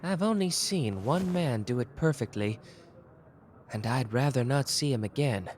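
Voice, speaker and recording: A man voicing a sharp, playful young male detective in a listener ASMR roleplay, teasing one moment and grave the next. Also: mid-range voice, animated, natural voice. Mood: brooding. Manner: quick, teasing, theatrical shifts between cheeky and grave.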